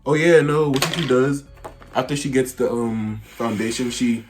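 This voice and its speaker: A man's voice: medium pitch, moderate pace, soft background music. A man doing his sister's makeup while asking her mock-interview questions in a playful, teasing way. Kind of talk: casual chat.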